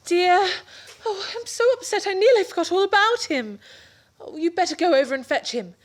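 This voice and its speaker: An actress playing a flustered, worried young wife who fusses anxiously, in 1930s radio-play style. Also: female voice, very high-pitched, animated, moderate pace.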